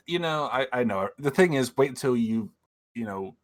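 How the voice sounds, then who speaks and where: A man's voice: mid-range voice, animated, moderate pace. A man, likely in his thirties, co-hosting a casual stream, stumbling through restarts and repeated words as he explains. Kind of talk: casual chat.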